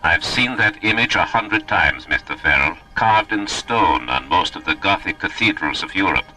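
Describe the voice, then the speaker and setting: An actor playing a learned professor in a radio drama, explaining in a measured, authoritative, grave way. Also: male voice, low voice, lively intonation, pushed and bright, faint music behind, heavily acted, poor audio. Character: learned male professor, grave and matter-of-fact scientist.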